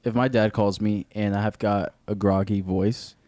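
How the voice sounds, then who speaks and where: A young adult man, a guest on a podcast in casual chat, easygoing and jokey, chiming in with quick remarks. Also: on the low side, steady tone, mellow, no echo.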